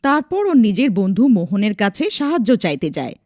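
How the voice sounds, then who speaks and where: A woman narrating a scripted animated folk tale as its storyteller. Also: medium pitch, brisk, dry recording, studio quality.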